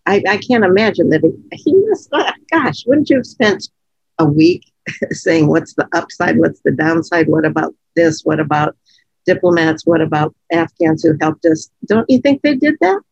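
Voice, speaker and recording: A woman co-hosting a podcast, chatting frankly and laughing often, her voice low from allergies. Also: lively intonation, normal speed, vocal fry, darkish tone, breathy edge, no echo, muffled recording. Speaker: podcast co-host. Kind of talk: casual conversational podcast.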